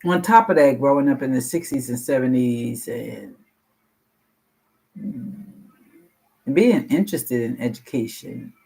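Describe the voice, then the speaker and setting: A woman in her sixties with a deep, low voice, giving a rambling, frank personal share at a support meeting and swearing casually. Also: animated, unhurried, vocal fry, long pauses, warm tone, breathy edge, rough recording. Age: 60s. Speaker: meeting participant sharing.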